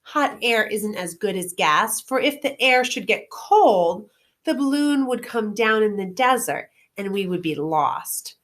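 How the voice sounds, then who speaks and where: A woman's voice: medium pitch, expressive, measured pace, fairly bright tone. A woman voicing an earnest, questioning young girl in a children's storybook, with exclamatory delivery. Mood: doubtful. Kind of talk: children's storybook read-aloud.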